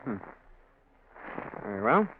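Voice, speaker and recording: A man's voice: medium pitch, very animated, slow. An actor playing a suspicious, stubborn young man in a 1940s radio drama. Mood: uneasy. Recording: tinny, faintly noisy 1940s radio recording.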